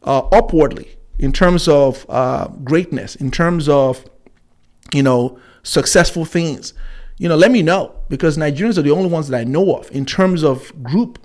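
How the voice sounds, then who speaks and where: A man giving unscripted opinion commentary as a host, haltingly, repeating words and often checking in with "right?". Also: a little high, a little mellow.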